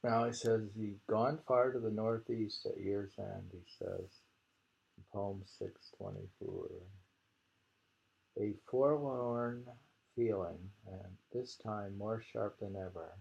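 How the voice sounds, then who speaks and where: Slow, gravelly man reading poetry. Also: lowish voice, a little mellow, muffled recording. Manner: deliberate, repeats lines and muses on them. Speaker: poetry reader and commentator. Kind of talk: reading poems aloud with casual discussion.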